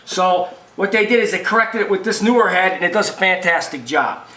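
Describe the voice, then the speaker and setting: A man with a notably high, sharp-edged voice talks through a repair in an informal tutorial. He is folksy and emphatic, rambling and circling back over his points. The sound is roomy and echoing. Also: expressive, brisk. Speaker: video machine repair technician and presenter.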